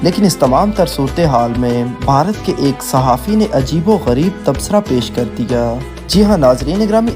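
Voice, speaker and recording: A man with a Pakistani accent reads scripted news narration in a formal, declarative manner. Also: medium pitch. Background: background music.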